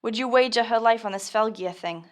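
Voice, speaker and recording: A woman's voice: fairly high pitch, fairly quick, lightly acted. An actress playing a sardonic, ruthless female rogue with a dry, menacing way of talking.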